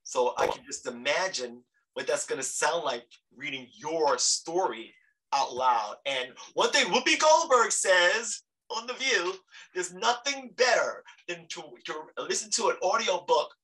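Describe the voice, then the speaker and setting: A man's voice: a little high, expressive, a little slow, pushed and bright, distant mic, rough recording. A man hosting a casual, chatty interview, effusive and emphatic, often repeating his words, recorded a little off-mic. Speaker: talk show host, actor.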